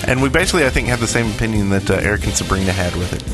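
A man's voice: fairly low pitch, animated, very fast, over music. A man co-hosting a gaming podcast in casual banter, with a joking, mock-serious manner. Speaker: podcast co-host.

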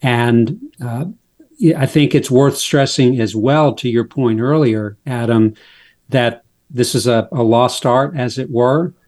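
A man speaking as a guest in a faith interview, explaining his subject in a measured, unhurried way. His voice sits at an ordinary pitch and he explains each point step by step. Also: darkish tone. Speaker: pastor and author, guest.

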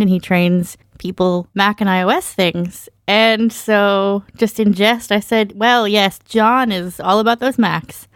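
A woman talks in a relaxed, chatty way about producing podcasts, laughing now and then. Her voice sits at an ordinary pitch and is recorded dry and close, with no room sound. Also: clean tone, no echo. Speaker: co-content director. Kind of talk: casual podcast chat.

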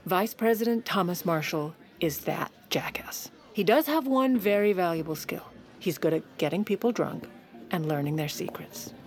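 A woman playing a sharp, scheming First Lady, confiding wryly in a comedic audio drama. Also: fairly low pitch, lively intonation, moderate pace, soft background music, close to an everyday voice. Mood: wry storytelling. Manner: conspiratorial, wry storytelling.

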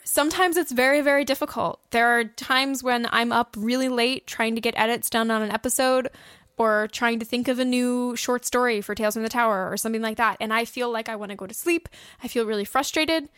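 A woman's voice: fairly high pitch, brisk, a bit bright, dry recording, clean studio sound. A young woman co-hosting a podcast, giving casual, earnest and encouraging advice. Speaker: podcast host and audio drama producer. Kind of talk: casual how-to podcast talk.

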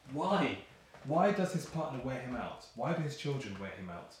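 A man's voice: mid-range voice, very animated, normal speed, close to an everyday voice. An actor playing a weary, troubled father in an audio play, grumbling and philosophising in pointed questions. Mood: musing, exasperated. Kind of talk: audio play acting, musing dialogue. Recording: very echoey room, faint noise.

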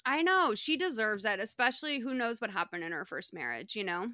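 A woman hosting a podcast, giving a wry, slangy and animated recap in casual conversation. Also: a little high, fairly quick, no echo, studio quality. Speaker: podcast host recapping a film. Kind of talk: casual comedic recap chat.

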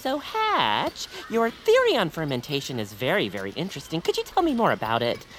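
Wildly swooping, excitable, genteel Noah. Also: female voice, low voice, fairly quick. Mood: giddy, flustered. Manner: flowery, fussy, flustered phrasing. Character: chatty, genteel, excitable, eager-to-please small-town character Noah. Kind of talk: audio comedy drama acting.

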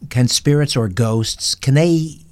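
A man's voice: mid-range voice, slow. A man hosting an interview, prompting and questioning conversationally. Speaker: interview show host.

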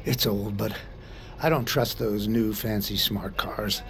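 An actor playing a friendly, protective older truck driver in an audio drama, folksy and halting, with a creaky voice. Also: male voice, on the low side, steady tone, a bit bright, full character voice. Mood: friendly, concerned. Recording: noisy vehicle cab ambience.

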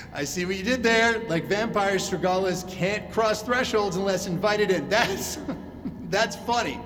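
An actor playing a showy, flippant fan-convention host in an audio drama, glib and full of announcer hype. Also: male voice, high voice, measured pace, fairly bright tone. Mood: glib, upbeat. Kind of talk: audio drama acting as stage emcee.

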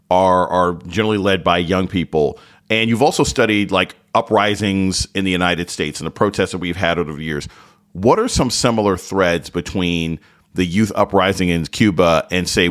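A man hosting a radio interview, reading a scripted introduction and asking measured, calm questions. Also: low voice. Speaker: radio host.

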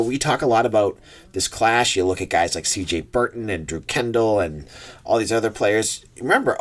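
A man with a nasal, congested voice from a cold, hosting a sports podcast in a rambling, chatty way. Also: fairly low pitch. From New England. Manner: rambling, self-correcting, chatty. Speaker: podcast host. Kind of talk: casual sports podcast talk.

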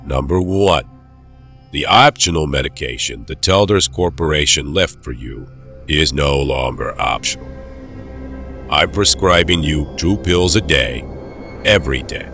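A stern doctor in an audio drama, really deep voice, measured and quietly threatening, bit of music under it. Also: male voice, heavily acted. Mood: stern, commanding. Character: cold, controlling male doctor giving orders.

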